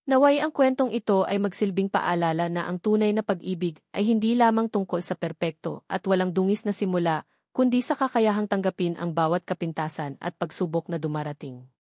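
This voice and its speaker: Woman reading a sentimental story, earnest n measured, medium pitch, super dry sound. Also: a little mellow, no echo. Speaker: story narrator. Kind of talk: scripted sentimental story narration.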